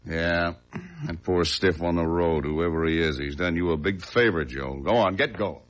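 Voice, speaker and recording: An actor playing a gruff, grumbling highway cop in an old-time radio drama, brusque, slangy and sardonic. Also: male voice, normal speed, natural voice. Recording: dull old radio recording.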